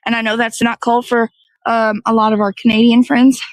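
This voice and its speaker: A woman's voice: on the high side, little pitch movement, a little fast. A woman, hosting a casual, rambling livestream in a playful, slangy, easygoing way. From American South. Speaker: host of a morning livestream show.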